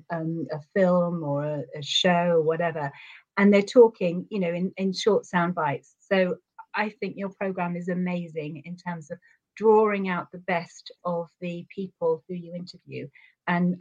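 A woman's voice: lowish voice, steady tone, normal speed, darkish tone, highs cut off. Chatty woman with a London accent. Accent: London English. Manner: earnest, chatty, rambling. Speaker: guest. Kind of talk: casual interview chat over a call.

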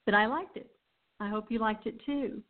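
A woman's voice: a little high, normal speed. A woman hosting a radio show, speaking casually and off the cuff in an informal, rambling way. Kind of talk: casual unscripted show sign-off. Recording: thin, phone-like line.

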